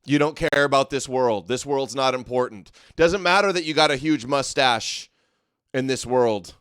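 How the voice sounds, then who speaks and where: A man hosts an online show with casual, sarcastic commentary on clips. His voice is medium in pitch and cleanly resonant. The recording is dry and close, with no room sound, and his delivery is jeering and offhand. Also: moderate pace, fairly bright tone, smooth, dry recording. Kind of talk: mocking running commentary on clips.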